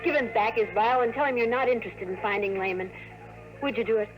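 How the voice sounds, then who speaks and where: Anxious, high-voiced young woman, played by an actress. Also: quick pace, soft background music, natural voice, poor audio. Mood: anxious, pleading. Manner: hesitant, pleading. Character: anxious, kind young woman hiding a frightening secret. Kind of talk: 1950s radio drama acting. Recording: old radio recording.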